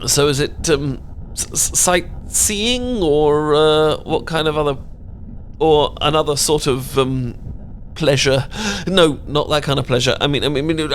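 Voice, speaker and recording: A man's voice: a little high, a little hiss or hum, natural voice. A British man playing a nervous, bookish man in an audio romance roleplay, stammering and rambling in a flustered way.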